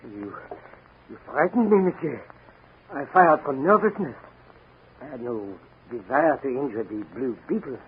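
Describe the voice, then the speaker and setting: A man's voice: mid-range voice, expressive, a little slow, pauses often, lightly acted. Nervous Frenchman, acted by a man, on scratchy radio. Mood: nervous, polite. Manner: hesitant, stammering, obsequiously polite. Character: nervous, evasive Frenchman, a novelist and gun collector. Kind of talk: 1940s radio drama acting. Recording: scratchy, noisy, narrow-band 1940 radio recording.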